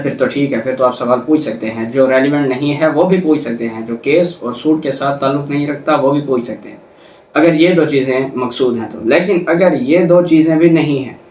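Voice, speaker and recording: A man's voice: a little high, a little mellow. Echoey, distant Pakistani man lecturing. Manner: didactic, repeats and rephrases points, slow deliberate reading of quoted text. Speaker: law lecturer. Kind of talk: explanatory lecture, reading statute then explaining it. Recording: echoey room, distant microphone.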